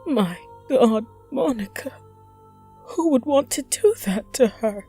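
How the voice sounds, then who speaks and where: A woman's voice: fairly high pitch, lively intonation, slow, mellow, husky, soft background music, full character voice. A woman acting as a volatile, grief-stricken mother in an emotional audio drama. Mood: shaken. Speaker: actor in audio crime drama.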